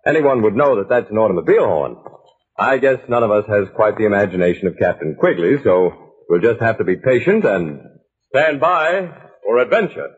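A man's voice: fairly low pitch, expressive, brisk, slightly rough. A man announcing stories in a dramatic old-time radio style, addressing the listener in a theatrical, teasing way. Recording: narrow-band vintage radio recording.

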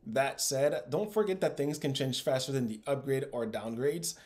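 A man's voice: medium pitch, normal speed, a little mellow. A man in his thirties hosting investing videos, delivering scripted commentary with upbeat, emphatic phrasing. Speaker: host of an investing channel. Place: small room at home, indoors.

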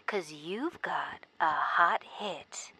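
A woman's voice: on the low side, sharp tone, husky, exaggerated voice. A woman playing a hip late-night fifties radio host, drawing out her jive talk through an old radio broadcast with static. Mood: smooth, playful. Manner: drawn-out, jive-talking. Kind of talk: 1950s radio DJ acting.

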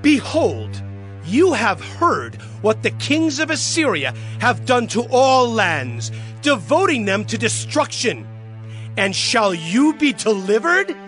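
An arrogant conqueror's messenger in an audio scripture drama. The voice is very high and sharp, swinging widely as it declaims taunts and challenges. Music plays underneath. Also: male voice, sing-song, slow, exaggerated voice. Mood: taunting, menacing.

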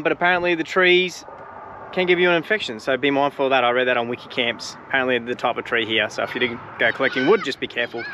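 A man's voice: on the high side, noisy background. A man with an Australian accent, casually narrating a road-trip travel vlog outdoors in a laid-back, rambling way. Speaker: travel vlogger. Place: outdoors by a highway and camp.

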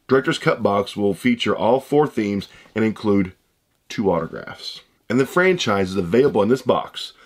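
A man in his thirties with a hoarse voice he says he is losing, chatting casually as he unboxes items at a desk indoors. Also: lowish voice. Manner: chatty, rambling, upbeat. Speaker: unboxing channel host. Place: room with shelves of shoe boxes, indoors.

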